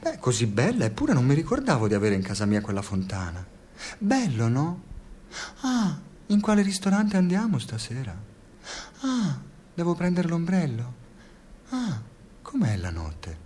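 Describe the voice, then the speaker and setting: A man's voice: fairly high pitch, wildly swooping pitch, unhurried, a little mellow, a little hiss or hum. An actor playing a talkative, self-absorbed older man in a radio drama, telling his stories in a rambling, theatrical, hesitant way. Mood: animated storytelling.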